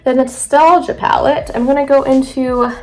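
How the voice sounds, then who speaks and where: A woman's voice: sits high, steady tone, normal speed, a little mellow, low-quality recording. A young woman vlogger chatting casually through a makeup tutorial, sounding a little congested from allergies. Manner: chatty, rambling, self-correcting. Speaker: beauty vlogger.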